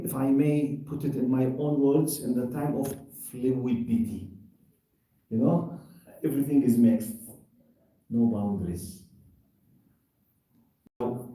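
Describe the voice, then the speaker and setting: A man teaches a religious lesson on scripture, pressing his point through rhetorical questions and simple everyday examples. His voice is dark and mellow. It is picked up from a distance, in a room that rings with heavy echo. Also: medium pitch. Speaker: lecturer giving Quran commentary. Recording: very echoey room, distant mic.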